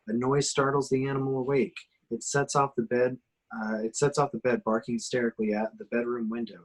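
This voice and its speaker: Man, medium pitch, reads stage action for a horror script table read, dramatic but amateur delivery. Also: poor audio. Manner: dramatic descriptive reading with occasional stumbles. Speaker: action description reader in a script table reading.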